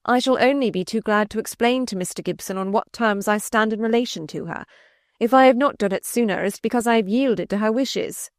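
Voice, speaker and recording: A woman voicing an insistent, possessive gentleman suitor in a storybook reading, speaking formally and with emphasis. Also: on the high side, fairly quick, no echo, clean studio sound, pitched above the performer's own voice, natural voice. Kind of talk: dramatised audiobook reading.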